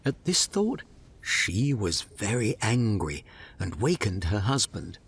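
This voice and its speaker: A man's voice: lowish voice, very animated, takes it slowly, a little hiss or hum. A man narrating a fairy tale as a dramatic, scripted storyteller.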